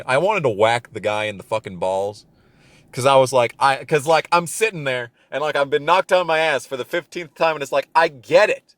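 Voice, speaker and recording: A man's voice: mid-range voice, a bit bright, no room sound. A man in his twenties telling a personal story casually and comedically, with profane, exasperated ranting and emphatic repetition. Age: 20s. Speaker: storyteller on a gaming channel.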